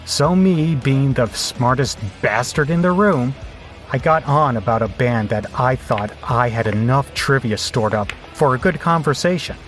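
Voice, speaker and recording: An actor playing a cynical biker who narrates an audio drama in a wry, bitter, self-mocking way. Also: male voice, medium pitch, measured pace, a bit bright, faint music behind, natural voice. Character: cynical, bitter biker man telling his story.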